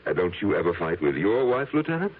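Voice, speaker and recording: Unhinged murderous husband, very deep. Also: male voice, big pitch swings, husky, heavily acted. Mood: defensive, sardonic. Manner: theatrical, halting, edgy. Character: unhinged husband, smug guilty murderer feigning innocence. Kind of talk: 1970s radio drama acting and confessional narration. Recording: tinny old radio broadcast with music.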